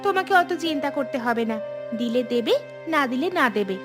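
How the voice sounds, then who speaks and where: A woman voicing a caring young daughter in a cartoon, speaking in an earnest, gently firm way. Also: sits high, animated, fairly quick, music in the background. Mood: reassuring, firm. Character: caring young unmarried daughter, practical and reassuring. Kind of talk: cartoon dialogue.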